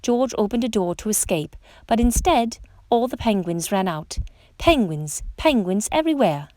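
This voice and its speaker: A woman reading a children's picture book aloud as a storytime narrator, with expressive emphasis on questions and exclamations. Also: medium pitch, moderate pace, dry recording. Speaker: storytime reader.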